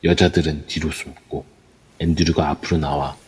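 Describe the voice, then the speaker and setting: Low raspy guy narrating an audio drama, big hall reverb. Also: little pitch movement, normal speed, a little mellow. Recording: long reverb throughout.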